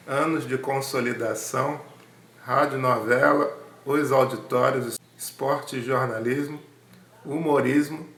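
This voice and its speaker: A man's voice: mid-range voice, gravelly, a bit bright, echoey room. A man with a Brazilian Portuguese accent informally introducing archive audio as a channel host.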